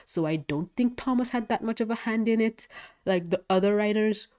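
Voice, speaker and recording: A woman's voice: medium pitch, normal speed. Congested, gushing young woman reviewer. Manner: gushing, excitable, swears freely. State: congested, nasal. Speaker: cartoon episode reviewer. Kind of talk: casual review commentary.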